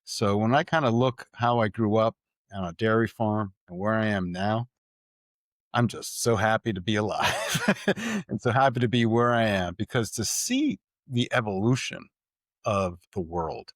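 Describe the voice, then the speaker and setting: Middle-aged guy from puerto rico, lowish voice, chatty and laughing a lot, dry close mic. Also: unhurried, no echo. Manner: animated, laughs often, repeats words when starting. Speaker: guest, tech executive. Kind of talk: conversational storytelling.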